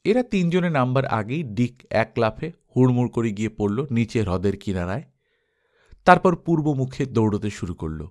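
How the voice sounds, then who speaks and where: Male storyteller, medium pitch, dramatized audiobook narration, expressive delivery. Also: moderate pace. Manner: expressive, acts out characters' dialogue. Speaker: storyteller reading an adventure story.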